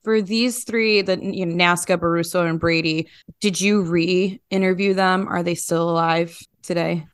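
A woman with a slightly low voice hosts an interview podcast. She puts her questions informally, as in everyday conversation. The sound is very dry, with no room echo. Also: fairly even intonation, measured pace, clean tone. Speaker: podcast host interviewing journalists. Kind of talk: casual interview.

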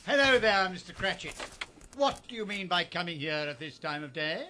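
An actor playing a jubilant, reformed old miser in a radio drama, chuckling and theatrical. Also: male voice, sits high, lively intonation, moderate pace, sharp tone, a little hiss or hum, exaggerated voice. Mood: mock stern. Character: jubilant reformed old male miser, teasing, warm-hearted.

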